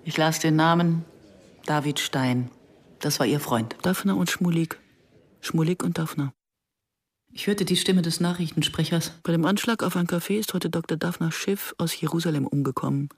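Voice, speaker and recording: A woman's voice: deep voice, normal speed, husky. An actress playing a guarded, reflective woman in her early fifties who narrates and converses soberly in a German radio drama. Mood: somber, remembering. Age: middle-aged.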